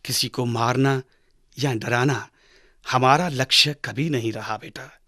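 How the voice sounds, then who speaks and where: A man playing a patient father who teaches his son in a measured, didactic way, with dramatic pauses, in a radio drama. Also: mid-range voice, pushed and bright, dry recording, clean studio sound. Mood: earnest. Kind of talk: Hindi radio drama acting.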